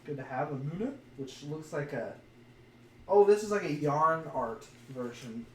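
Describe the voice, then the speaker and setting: A man's voice: on the high side, animated, a little slow, a little mellow. A man chatting casually as a podcast co-host, commenting on trading cards in a jokey, crude way with some wordplay. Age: adult, parent of a young son. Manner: jokey, crude, plays with words. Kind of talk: casual chat while opening trading card packs. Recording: roomy echo, off-mic, faint noise.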